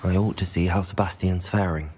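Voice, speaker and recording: A woman playing a calm, tactful teenage student in a fantasy video game, with a measured delivery. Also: very deep voice, a little hiss or hum. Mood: concerned. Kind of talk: video game dialogue acting.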